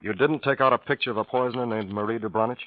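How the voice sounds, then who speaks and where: An actor playing an uneasy, suspicious yet apologetic husband, halting and hesitant, in 1940s American radio drama style. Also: male voice, medium pitch, lightly acted. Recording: dull vintage radio recording.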